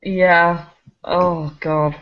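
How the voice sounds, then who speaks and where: A woman co-hosting a casual gaming podcast, chatty and quick to laugh. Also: on the low side, flat delivery. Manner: chatty, laughs often, restarts sentences. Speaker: podcast co-host. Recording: slightly muffled home recording.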